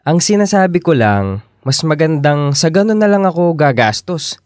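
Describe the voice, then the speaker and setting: Easygoing young boyfriend, dry sound. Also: male voice, a little high, sing-song, normal speed, a little mellow, no echo. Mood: casual, coaxing. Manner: casual, coaxing, hesitant stammers and chuckles. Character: young easygoing boyfriend, sweet, cajoling, motorbike-loving, earnest. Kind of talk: radio drama acting, natural conversational dialogue.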